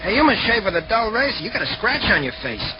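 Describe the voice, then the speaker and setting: Chirpy elevator man, high voice, teasing, noisy old radio recording. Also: big pitch swings, quick pace, highs cut off. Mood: cheery. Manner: friendly, teasing. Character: cheery, chatty male elevator operator. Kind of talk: 1940s radio acting.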